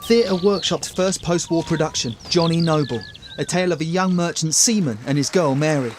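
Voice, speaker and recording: A man's voice: a little high, moderate pace, a little hiss or hum, natural voice. A man acting in an audio drama as a young 1940s newsboy, announcing headlines in a declamatory manner. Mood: proclaiming. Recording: street ambience, vehicles and crowd behind.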